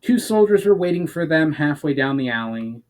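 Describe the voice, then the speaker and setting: A man's voice: on the high side, animated, moderate pace, a little mellow. Man giving a dramatic story reading. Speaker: author reading own fantasy book. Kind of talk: dramatic reading of fantasy narration.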